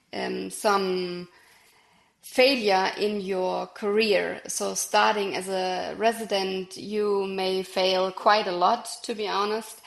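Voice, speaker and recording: A woman with a German accent speaks as a podcast guest, in a conversational interview style. Her voice has some creak in it, and the room adds noticeable echo. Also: medium pitch.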